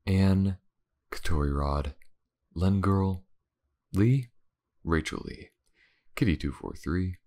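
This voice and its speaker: A man's voice: a bass voice, gravelly. A man hosting an ASMR channel, talking casually to listeners in a sign-off. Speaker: ASMR voice actor and channel host.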